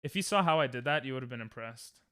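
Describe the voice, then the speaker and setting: A young man with a New York accent, streaming a game and chatting casually with friends, joking and getting excitable, often trailing off mid-sentence. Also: mid-range voice, fast talker, clear, resonant tone, no echo, clean studio sound. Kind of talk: casual gaming stream chat.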